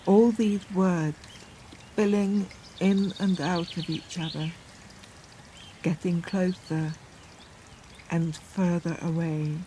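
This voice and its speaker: Slow, reflective woman over nature ambience. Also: lowish voice, steady tone, dark tone, a little hiss or hum. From northern England. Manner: contemplative, halting, with pauses. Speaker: poet in an audio documentary. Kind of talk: reflective, unscripted documentary talk with poetic passages.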